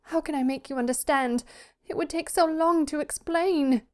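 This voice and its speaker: A woman voicing a frightened, pleading young daughter in an audiobook story, with a breathy, hurried, anxious delivery. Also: high voice, pitched above the performer's own voice, lightly acted. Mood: urgent, desperate. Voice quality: breathy, gasping.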